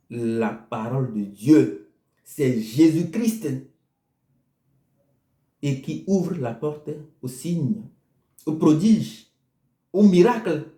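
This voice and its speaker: A man's voice: a little high, mellow. A man delivering a sermon, emphatic and repetitive in his phrasing. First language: Ewe. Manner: slow, emphatic, repeating phrases. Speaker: preacher. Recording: echoey room.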